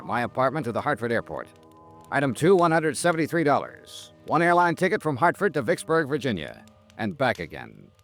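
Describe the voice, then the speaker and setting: An actor playing a tough, wry insurance investigator in a 1950s radio drama, with a clipped hard-boiled delivery. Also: male voice, mid-range voice, fairly bright tone. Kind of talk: 1950s radio detective acting, first-person expense-account narration.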